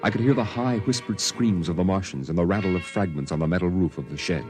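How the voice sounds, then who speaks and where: A man playing a somber storyteller recounting his boyhood on Mars, in measured, dramatic first-person narration for a vintage radio drama. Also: low-pitched, natural voice. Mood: tense. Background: dramatic music.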